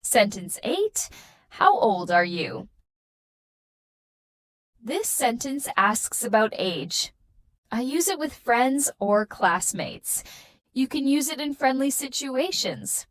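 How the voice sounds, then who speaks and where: A woman teaching a scripted beginner English lesson, speaking in slow, carefully spaced, patterned sentences. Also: a little high, very animated, a bit bright, no room sound.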